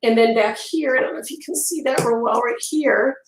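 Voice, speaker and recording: A woman's voice: fairly high pitch, rough recording. Woman losing her voice on a live stream. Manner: chatty, many ums, often pauses mid-sentence. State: losing her voice, clearing her throat and coughing. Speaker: crochet designer hosting a live stream. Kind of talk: casual live-stream chat. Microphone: phone on a mount.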